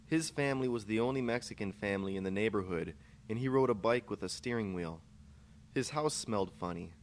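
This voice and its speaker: A man's voice: on the low side, fairly quick. A man reading his own first-person short story aloud as scripted narration. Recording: clear background noise, amateur podcast recording.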